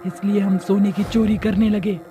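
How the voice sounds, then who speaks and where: A man voicing a gold-greedy female ghost witch in a horror story, measured and theatrical. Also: high-pitched, level tone, warm tone, slight background noise, pitched above the performer's own voice, lightly acted. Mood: confessing.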